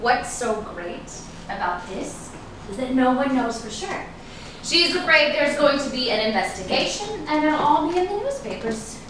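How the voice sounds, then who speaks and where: In a very echoey room with clear noise, a guarded, ambitious woman played by a stage actress speaks with dramatic emphasis. Her high voice moves widely as she holds an old flame at bay in a staged drama reading. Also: big pitch swings, normal speed. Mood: guarded, earnest. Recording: echoey room, distant mic, noisy.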